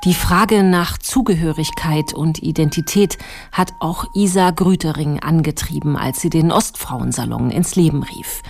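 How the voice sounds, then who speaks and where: Low-voiced woman narrating a documentary. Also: gravelly, darkish tone, a little hiss or hum. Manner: measured, composed.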